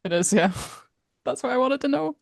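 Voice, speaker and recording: A woman co-hosting a podcast in casual chat, informal and inquisitive, quick to laugh. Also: high-pitched, lively intonation, creaky, slight background noise. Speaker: podcast co-host.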